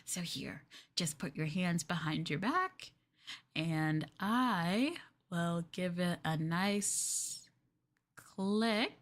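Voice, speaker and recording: A woman voicing a sweetly possessive, obsessive young girlfriend in an ASMR roleplay, coaxing and cooing between soft speech and whispers. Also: lowish voice, expressive, very slow, voice pushed down. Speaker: ENVtuber streamer performing ASMR roleplay.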